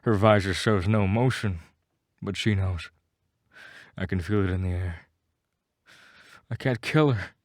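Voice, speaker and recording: A man with a fairly low voice narrates a scripted first-person tale, recorded close and dry. He delivers it with mock-serious melodrama, treating every line as grave drama. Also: measured pace, pauses often, no room sound. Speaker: story narrator.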